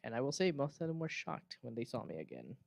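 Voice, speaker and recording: A man answers audience questions as a panelist, speaking candidly and casually. His voice sits at a middle pitch, and the recording is dry and close. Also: big pitch swings, fast talker, creaky, no room sound.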